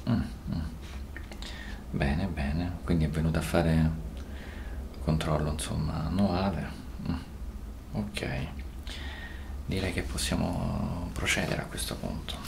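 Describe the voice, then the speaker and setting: A man in his thirties playing a sly, unflappable gynecologist in a soft-spoken ASMR roleplay, murmuring hesitantly in breathy, often whispered speech. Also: extremely low pitch, fairly even intonation, background noise, heavily acted. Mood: murmuring, professional. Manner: slow, hesitant, murmuring with hums. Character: sly, unflappable male gynecologist examining a patient.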